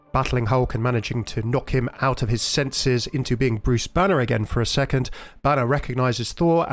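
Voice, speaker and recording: Male gaming host narrating over music. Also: medium pitch. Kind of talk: informal explanatory narration.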